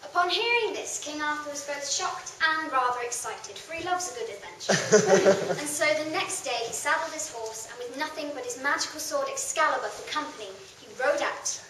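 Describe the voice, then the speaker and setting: A woman's voice: high-pitched, lively intonation, moderate pace, a crowd in the background. A woman telling a tale in theatrical scripted narration. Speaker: storyteller. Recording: very echoey room, distant mic, clear noise.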